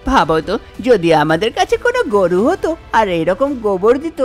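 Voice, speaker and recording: A woman's voice: mid-range voice, very animated, moderate pace, faint music behind, voice pushed down, lightly acted. A woman voicing a chatty, thrifty older village mother-in-law in a cartoon, full of exclamations. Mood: excited, wishful. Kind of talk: Bengali cartoon voice acting.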